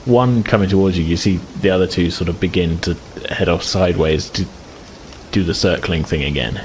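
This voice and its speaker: Deep-voiced guy gm, easygoing, lots of ums and kinda, faint hiss behind. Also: a little fast. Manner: hedging, filler-heavy, easygoing. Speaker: game master. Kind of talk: narrating a tabletop game.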